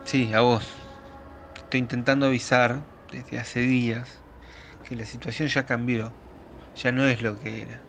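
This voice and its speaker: A man's voice: medium pitch, flat delivery, a little slow, creaky, a bit bright, a little hiss or hum. A man with an Argentine accent playing a trapped, despairing man who speaks straight to the listener in a brooding radio theatre monologue. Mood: urgent warning. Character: trapped, despairing man warning over a communicator, resentful of power.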